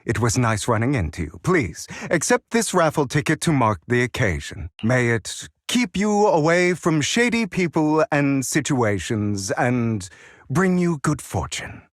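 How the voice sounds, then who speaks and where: Suave, theatrical sly gentleman. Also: mid-range voice, big pitch swings, no echo, studio quality. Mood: playful. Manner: measured, elegant, teasing, drawn-out words. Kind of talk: video game voice acting.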